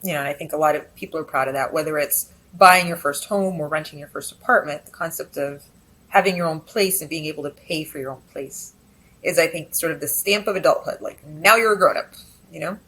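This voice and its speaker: A woman's voice: low voice, fairly quick, a bit bright, husky, rough recording. A woman who grew up speaking Spanish at home, talking reflectively as an interview guest, with frequent fillers and restarts. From Texas, USA. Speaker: guest, business editor. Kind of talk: conversational interview.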